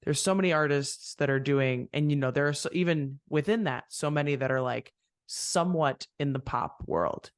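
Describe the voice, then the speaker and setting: Gravelly man hosting, dry close sound. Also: fairly high pitch, expressive, moderate pace, no room sound. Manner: reflective, rambling with fillers. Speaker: podcast host. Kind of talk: casual interview chat.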